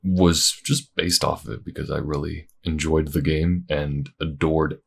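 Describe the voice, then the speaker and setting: Male creator with an extremely low voice, casual and enthusiastic, signing off to listeners. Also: steady tone, a little slow, gravelly, husky. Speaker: voice actor and creator. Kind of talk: casual outro addressed to listeners.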